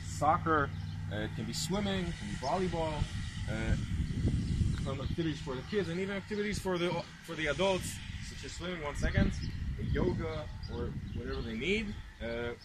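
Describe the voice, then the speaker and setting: A man's voice: a little high, lively intonation, normal speed. A man working as a tour guide, explaining informally step by step with rhetorical questions, in English shaped by American and South African parents. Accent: English with Anglo influence from American and South African parents. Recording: clear outdoor ambient noise.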